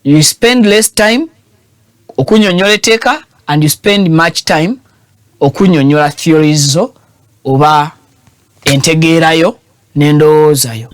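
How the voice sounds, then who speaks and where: A Ugandan man with a high, bright-pitched voice delivers teasing, animated political commentary as a show host. He switches languages mid-sentence. The sound is dry and close. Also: a little slow, pauses often, no echo.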